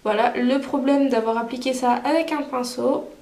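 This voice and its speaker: A woman's voice: high voice, steady tone, moderate pace, darkish tone, roomy sound. A woman vlogger with a cold, chatting casually through a makeup tutorial with many fillers. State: stuffy with a cold. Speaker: beauty vlogger.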